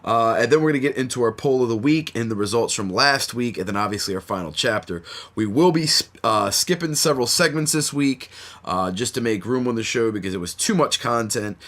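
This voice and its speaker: A man's voice: mid-range voice, expressive, a little fast, fairly bright tone. Stuffy-nosed man hosting a podcast. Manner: laid-back, slangy and swearing, many fillers. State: stuffy from a cold. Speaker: podcast host. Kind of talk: casual podcast chat.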